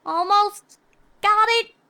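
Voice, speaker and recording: A woman voicing an excitable little filly pony with a squeaky voice, in a story reading. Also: slow pace, exaggerated voice. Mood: excited, eager.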